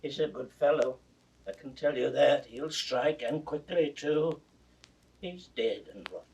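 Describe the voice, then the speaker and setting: A man's voice: fairly high pitch, unhurried, creaky, a little mellow. An actor playing a frail, grief-maddened old king in a classical Shakespeare audio drama, swinging from anguished cries to broken whispers. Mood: weary, confused. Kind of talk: 1960s recorded Shakespeare drama, classical stage acting. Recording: distant, noisy vintage drama recording.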